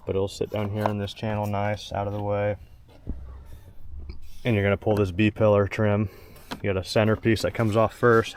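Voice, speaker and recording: Male how-to presenter, lowish voice, plain and practical, casual delivery, noisy on-location handheld recording. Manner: plain-spoken, instructional, short practical phrases. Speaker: presenter of a truck installation how-to. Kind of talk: casual hands-on tutorial narration. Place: in and around a parked pickup truck outdoors. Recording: clear background noise, clicks of handling.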